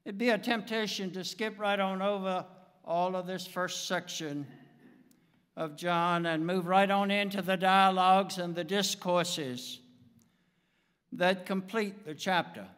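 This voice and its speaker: An American man in his seventies preaching a sermon from a pulpit in a deliberate, emphatic manner with dramatic pauses. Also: high-pitched, steady tone, a bit bright, clear, resonant tone. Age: 70s. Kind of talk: sermon with anecdotes. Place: church sanctuary, speaking from the pulpit. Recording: reverberant church hall, long echo.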